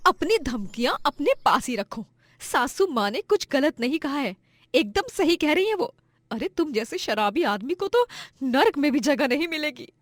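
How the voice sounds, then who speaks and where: A woman's voice: a little high, expressive, normal speed, no echo, studio quality. A woman voicing a dutiful, brave young daughter-in-law in a melodramatic cartoon, earnest and emphatic. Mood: defiant.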